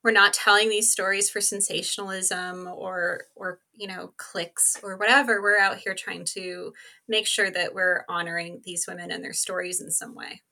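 Woman guest on a podcast, bright voice, chatty but earnest, says like and you know a lot. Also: lowish voice, steady tone, moderate pace. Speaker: podcast co-host being interviewed as guest. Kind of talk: conversational interview talk.

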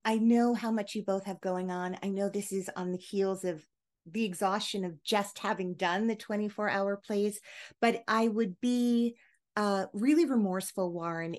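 Female interviewer, average pitch. Conversational, earnest, leans on key words, builds long questions. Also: darkish tone. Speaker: podcast host interviewing guests.

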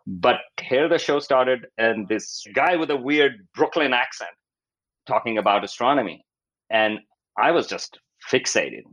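A man's voice: mid-range voice, expressive, unhurried, fairly bright tone, low-quality recording. A man in his fifties with a Pakistani accent, an academic explaining research in an interview and often acting out quotes as he goes. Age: 50s.

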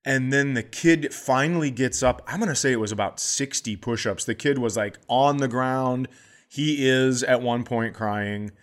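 A middle-aged man, a comedian co-hosting a podcast, telling stories in a casual, animated way and acting out the people in them. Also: medium pitch, normal speed. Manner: animated, acts out voices in his stories.

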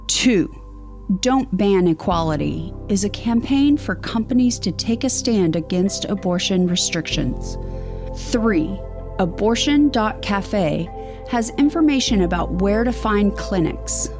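A woman reading a scripted public service announcement in a measured, earnest, clearly enunciated way. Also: medium pitch, animated. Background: music.

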